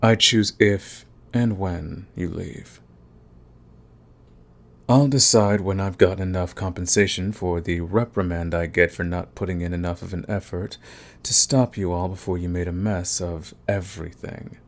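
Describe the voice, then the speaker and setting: A man's voice: deep voice, steady tone, unhurried. A man playing a smug, flirtatious villain who is fond of the enemy, in an intimate ASMR romance roleplay with taunting, drawling menace. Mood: commanding, teasing. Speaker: audio roleplay voice actor.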